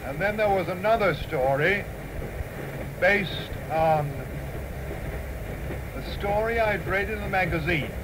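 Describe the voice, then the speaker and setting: Slow-spoken British man on noisy old tape. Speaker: author recounting his stories. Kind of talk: unhurried storytelling in an interview. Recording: noisy 1960s tape, outdoor rumble.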